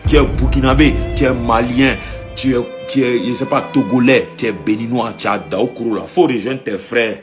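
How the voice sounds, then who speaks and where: A man's voice: mid-range voice, normal speed, over music. A man giving a blunt, informal exhortation in a commanding, repetitive way.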